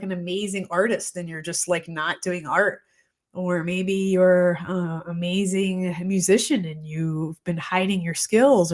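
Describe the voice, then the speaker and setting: A woman in her forties, a holistic practitioner, chatting on a live stream in a reflective way with a slightly congested voice. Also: medium pitch. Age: 40s. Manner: chatty and reflective, many fillers. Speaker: holistic practitioner hosting a weekly live show. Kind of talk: casual live-stream talk, greeting viewers. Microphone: streaming mic.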